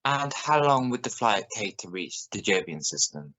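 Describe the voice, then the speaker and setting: A man playing a serious, probing evening news reporter in a science fiction radio play, speaking in a formal, measured way. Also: lowish voice, sing-song, pushed and bright, lo-fi sound, full character voice. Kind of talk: scripted radio news broadcast in a drama.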